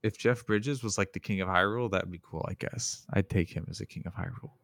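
A man's voice: sits low, quick pace, fairly bright tone, no echo. A man co-hosting a casual podcast, talking enthusiastically and rambling, often restarting sentences. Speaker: podcast co-host. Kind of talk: casual chat.

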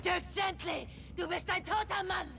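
Female killer for TV drama: very high, gravelly, erratic and threatening, loud music behind. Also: steady tone, normal speed. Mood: menacing. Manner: threatening, blunt, indignant. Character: menacing, erratic machete-wielding female killer. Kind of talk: dubbed TV series acting.

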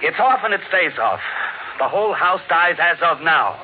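A man's voice: on the high side, sing-song, moderate pace, reverberant, phone-quality sound, slight background noise. An actor playing an exasperated American father in an old radio drama, with an emphatic, theatrical delivery. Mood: firm, fed up. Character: exasperated father who has turned against his automated house. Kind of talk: mid-20th-century radio drama acting. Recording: thin band-limited old radio broadcast.

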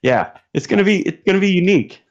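A man's voice: sits high, animated, fast. A man chatting casually as a podcast guest in a friendly, informal way, over dull livestream audio. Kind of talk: casual chat.

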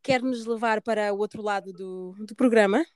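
A woman's voice: medium pitch, normal speed, a little mellow, clear, resonant tone, dry recording, clean studio sound. A woman host from Portugal with a European Portuguese accent, chatting informally and dropping in English words. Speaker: podcast host. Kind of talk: casual conversation.

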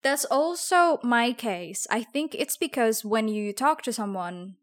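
A woman's voice: fairly high pitch, animated, measured pace. Woman, Javanese speaker, chatting casually. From Java, Indonesia. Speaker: podcast host. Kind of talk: casual conversational podcast chat.

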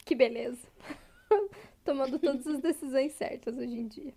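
A woman's voice: high voice, normal speed, mellow. A woman playing in a tabletop game, playful and giggly in casual banter. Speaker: player.

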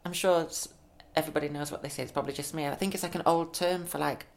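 A man's voice: a little high, steady tone, quick pace, creaky. A young man chats casually as a podcast co-host. He is upbeat and laughs easily, with a slight lisp from new teeth. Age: 20s. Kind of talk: casual chat.

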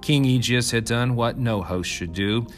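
A man's voice: mid-range voice, unhurried, soft background music. A man reading an ancient myth aloud as scripted storytelling narration.

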